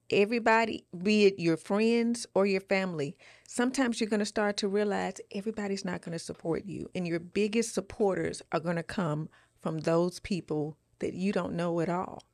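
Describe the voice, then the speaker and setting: A woman's voice: mid-range voice, normal speed, no room sound, studio quality. A woman co-hosting a podcast, giving advice in a casual, emphatic way, repeating phrases for stress. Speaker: podcast co-host.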